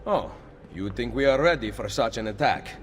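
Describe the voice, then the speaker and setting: A man acting a determined Italian assassin leader in a game cutscene. His mid-pitched voice carries an Italian accent and confident bearing, ringing in a long reverb over faint music. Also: animated, normal speed, natural voice. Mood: challenging.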